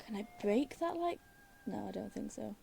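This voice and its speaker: A woman's voice: medium pitch, lively intonation, normal speed, creaky, dark tone, soft background music. A woman giving casual gameplay commentary, thinking aloud in a hesitant, giggly, self-deprecating way. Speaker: game streamer playing and commenting.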